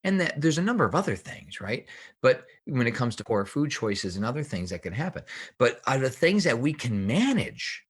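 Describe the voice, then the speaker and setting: A man's voice: medium pitch, very animated, normal speed, husky. A man speaking as an expert guest in a podcast interview, explaining things with emphasis and repeated phrases. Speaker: guest health researcher and author.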